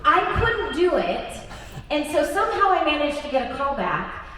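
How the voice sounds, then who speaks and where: A woman voice actor telling a story on a convention panel, animated and earnest. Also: high-pitched, moderate pace, noisy background. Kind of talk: panel anecdote. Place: large convention stage hall. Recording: echoey hall from far off.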